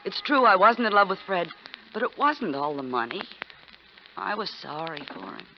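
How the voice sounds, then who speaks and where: Breathy, scheming 1940s femme fatale. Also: female voice, mid-range voice, big pitch swings, normal speed. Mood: pleading, seductive. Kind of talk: 1940s radio melodrama acting. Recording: muffled, very noisy 1940s radio recording.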